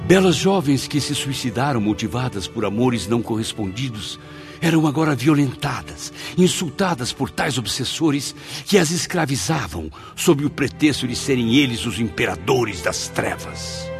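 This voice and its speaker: A man's voice: mid-range voice, animated, normal speed, over music, lightly acted. A man playing a remorseful, tormented soul in a radio drama, speaking with grave theatrical delivery. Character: anguished, remorseful man tormented in the afterlife, also narrating.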